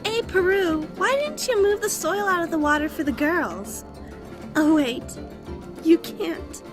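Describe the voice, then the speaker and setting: A very high, animated little forest goddess, pouty and teasing in an audio drama. She speaks in a slow, childlike way, petulant one moment and playful the next. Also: music in the background. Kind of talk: audio drama character acting.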